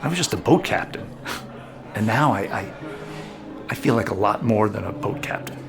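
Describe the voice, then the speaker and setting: An earnest, humble low-voiced, creaky boat captain. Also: male voice, lively intonation, over music. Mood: earnest, tender. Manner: halting, sincere, plain-spoken. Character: earnest, humble former boat captain in love-tinged friendship. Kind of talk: naturalistic audio drama acting.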